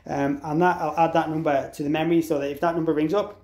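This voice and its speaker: Echoey, higher-pitched man giving a tech walkthrough. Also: flat delivery, fast talker, a little mellow. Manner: explanatory, step-by-step, spells out letters and digits. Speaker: product tutorial presenter. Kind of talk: instructional walkthrough, informal and unscripted. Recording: echoey, roomy sound.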